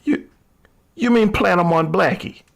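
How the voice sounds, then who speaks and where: An actor playing a cocky, reckless young thief in a vintage radio drama, with a husky, gravelly voice and a flippant manner. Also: male voice, sits high, measured pace. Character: reckless doomed young man, cocky thrill-seeking diamond thief.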